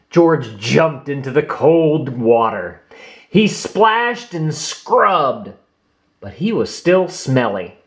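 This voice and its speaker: A man narrating a children's picture book, reading aloud expressively with theatrical emphasis for young listeners. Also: a little high.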